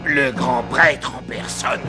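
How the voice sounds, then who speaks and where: A man's voice: medium pitch, slow pace, close to an everyday voice. A man playing a jealous, scheming priest in a cartoon, indignant and theatrical. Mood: resentful, plotting. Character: jealous, scheming priest alarmed by a rival's popularity. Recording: music behind the voice.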